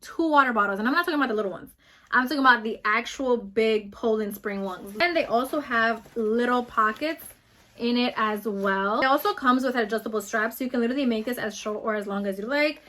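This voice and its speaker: Fast-talking New York woman in her twenties. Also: a little high, a bit bright. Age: 20s. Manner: fast-talking, bubbly and emphatic. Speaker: beauty vlogger. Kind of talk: casual product review vlog.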